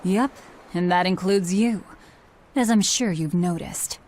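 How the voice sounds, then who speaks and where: An actress plays a ruthless, guarded young woman in a video game. She speaks in a lowish, deadpan voice, terse and sardonic, with faint ambient noise. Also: a little slow, lightly acted. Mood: cynical, guarded. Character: cynical, guarded, ruthless young female avenger.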